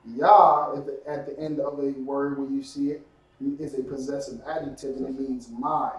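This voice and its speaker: A man teaching a language class, drilling words slowly and quizzing his student. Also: mid-range voice, flat delivery, far from the mic. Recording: echoey room, voice from off-mic.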